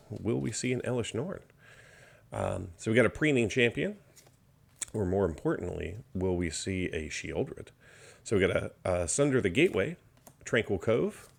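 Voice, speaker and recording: Slightly hoarse male box opener, gravelly low voice, calm running card commentary. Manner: steady card-by-card listing, mild enthusiasm. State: slightly hoarse, recovering from illness. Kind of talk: unboxing commentary.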